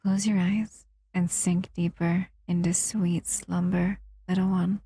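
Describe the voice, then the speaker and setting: A woman's voice: fairly low pitch, level tone, slow, dark tone, slightly rough, no room sound, exaggerated voice. A woman voicing a captive female shadow monster who soothes and cuddles the listener in a close, intimate audio roleplay. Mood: tender, soothing. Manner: soothing, intimate, often whispering.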